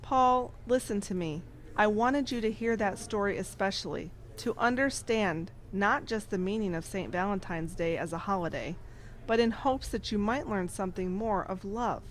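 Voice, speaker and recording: A woman playing an earnest, kindly nun who tells stories and teaches with a deliberate, emphatic delivery. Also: medium pitch, a little hiss or hum, natural voice. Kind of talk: audio drama storytelling.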